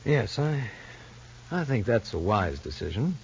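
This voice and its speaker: Formal child psychologist, lowish voice, animated intonation, deliberate questions, vintage radio drama, dull recording. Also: male voice, vocal fry, breathy edge, heavily acted. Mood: calm, probing. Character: measured, probing male child psychologist. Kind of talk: 1950s radio soap opera acting. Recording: muffled old radio recording.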